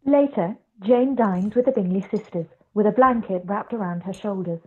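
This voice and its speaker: A woman's voice: mid-range voice, normal speed, husky. A woman reading scripted narration for a radio play over a video call. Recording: dull video-call audio.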